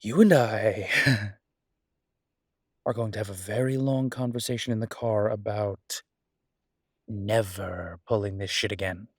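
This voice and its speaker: A man playing a devoted, stubborn, protective werewolf detective in an intimate audio roleplay, speaking earnestly and insistently with emphatic pauses. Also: fairly low pitch, steady tone, slightly rough, no room sound, clean studio sound. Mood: exasperated. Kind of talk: dramatic roleplay audio acting, intimate one-sided dialogue.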